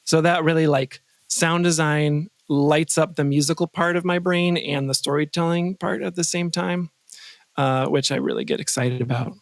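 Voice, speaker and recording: A man with a fairly high voice chats casually as an interview guest. He sounds enthusiastic and often stops and restarts while thinking aloud. The sound is very dry, with no room echo. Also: fairly even intonation, normal speed, creaky. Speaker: podcast guest, composer and sound designer.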